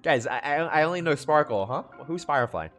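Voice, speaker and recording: A young man streaming live reactions to game cutscenes in casual slang, excitable and exclamatory. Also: on the high side, fairly quick. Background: game music.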